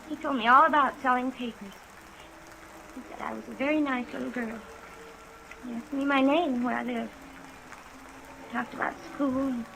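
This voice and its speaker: A woman's voice: high-pitched, vocal fry, poor audio. An actress playing a fragile, suspicious, distraught young woman in a wistful, emotionally fraught 1950s radio drama style. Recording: old 1950s radio recording, rain noise. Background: steady rain sounds.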